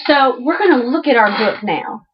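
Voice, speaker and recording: A woman's voice: high-pitched, expressive, moderate pace, lo-fi sound, a little hiss or hum. A woman with a Southern American accent teaching children through an interactive read-aloud, asking questions and repeating answers back.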